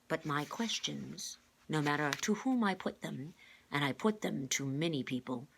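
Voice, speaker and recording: Woman playing an older male genius mentor, grave, modest, formal, low-pitched, storybook character. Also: gravelly, fairly bright tone, a little hiss or hum. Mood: grave, measured. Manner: measured, formal, careful wording.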